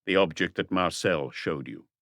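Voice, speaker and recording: A man voicing a shrewd police detective in an audiobook, speaking in a quiet, casual, measured way. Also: low-pitched, dry recording, clean studio sound. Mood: calm, probing. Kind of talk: audiobook character dialogue.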